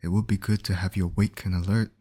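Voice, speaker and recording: A man acting as a calm, caring medic in an ASMR roleplay, gently reassuring a patient and explaining each step. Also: deep voice, quick pace, slightly rough. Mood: calm, focused. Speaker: voice actor in roleplay audio.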